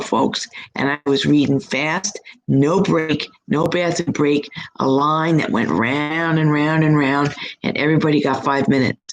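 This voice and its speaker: A hoarse, husky middle-aged woman hosting a live stream as a psychic reader, chatting in a rambling, upbeat way from her home. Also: low-pitched, low-quality recording. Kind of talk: casual live-chat monologue.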